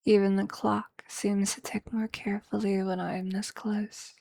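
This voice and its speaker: Performer as a motherly vampire lover, ASMR whisper, dark soft tone, very dry close sound, possessive, soothing, poetic. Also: mid-range voice, flat delivery, gravelly, no room sound, close to an everyday voice. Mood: tender, possessive. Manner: slow, intimate, soothing, formal poetic phrasing.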